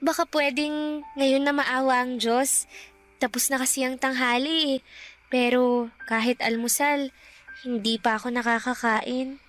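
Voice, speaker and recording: An actress playing a young, hungry, innocent Filipino granddaughter in a Tagalog radio drama, anxious and plaintive. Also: female voice, high-pitched, steady tone, measured pace, pauses often, fairly bright tone, faint music behind.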